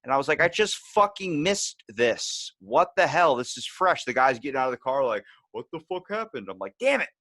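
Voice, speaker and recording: A man's voice: mid-range voice, very animated, normal speed, hardly any pauses, a bit bright, no echo. A man hosting a podcast, chatting casually in a rambling, candid way and swearing freely as he tells personal stories. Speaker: podcast host.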